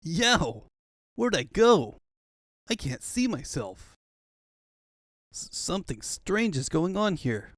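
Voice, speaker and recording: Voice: medium pitch, big pitch swings, slow pace, pauses often, no room sound, studio quality. A performer voicing a cocky, flippant young hedgehog hero in a cartoon comic dub. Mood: brash, startled. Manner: brash, flippant. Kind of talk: comic fandub acting.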